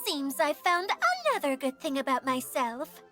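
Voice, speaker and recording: A woman's voice: sits high, moderate pace, a bit bright. A woman voicing a sunny, easygoing young girl in an anime game, with a breezy, self-effacing manner. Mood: proud, cheerful. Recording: music behind the voice.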